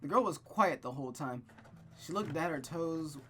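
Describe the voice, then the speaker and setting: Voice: medium pitch, lively intonation, normal speed, a bit bright, a little hiss or hum. A streamer reading a visual novel aloud with casual, enthusiastic commentary, stumbling now and then over the text. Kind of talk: casual gameplay commentary and read-aloud narration.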